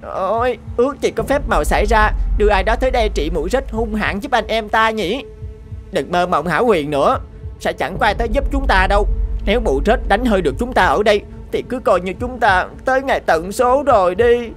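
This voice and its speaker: A woman's voice: medium pitch, animated, fairly quick, soft background music, close to an everyday voice. Woman playing anxious cartoon cattle, fretful voice. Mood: fearful. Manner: fretful, dramatic. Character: timid, anxious young cattle fretting over danger. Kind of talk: animated fairy-tale dubbing.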